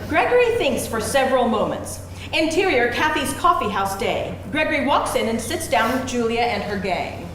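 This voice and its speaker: A woman's voice: fairly high pitch, very animated, moderate pace, a bit bright. A woman reading screenplay stage directions aloud as scripted narration at a live event. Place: live event room with audience. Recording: very echoey room, faint noise.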